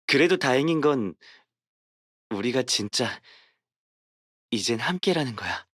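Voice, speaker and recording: A man's voice: medium pitch, animated, very fast, fairly bright tone, dry recording, clean studio sound. A man voicing a tender, devoted young sweetheart in a romance game, affectionate and intimate in manner. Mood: tender, sincere. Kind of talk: game character voice acting.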